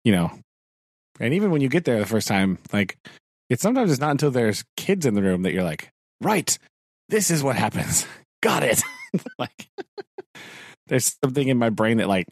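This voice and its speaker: A man's voice: mid-range voice, sing-song, moderate pace, long pauses, dry recording, studio quality. A man, a teacher co-hosting a podcast, chatting casually, laughing often and acting out his own thoughts. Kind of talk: casual chat. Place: home.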